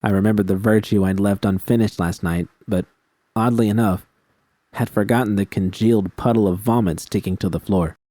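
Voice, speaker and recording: A man's voice: on the low side, fairly even intonation, fairly quick, warm tone, no echo. A man reading scripted first-person fiction as an audiobook narrator.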